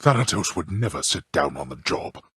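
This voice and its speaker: A haughty god-king of the underworld for a video game, with a very deep and heavily rasping voice. His speech is formal and dryly contemptuous, swinging widely in pitch as he passes judgment like a stern father. The sound is close and dry. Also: very animated, moderate pace, bright, forceful tone, dry recording, heavily acted. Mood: scornful, irritated. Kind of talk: theatrical video game character dialogue.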